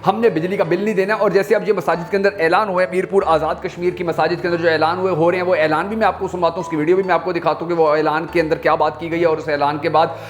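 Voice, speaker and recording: A Pakistani man hosts a news commentary channel in a fairly high-pitched voice. He talks very quickly and emphatically, stacking repeated phrases as he addresses his viewers. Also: level tone. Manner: emphatic, repetitive rhetorical build-up. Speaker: YouTube news channel host.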